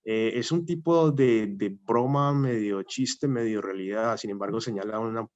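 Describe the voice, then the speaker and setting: A man giving an academic talk as a guest lecturer, speaking in a measured, hesitant way with many "eh" fillers. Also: lowish voice, a little mellow. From Colombia. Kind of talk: academic talk with a personal story. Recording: muffled lo-fi audio.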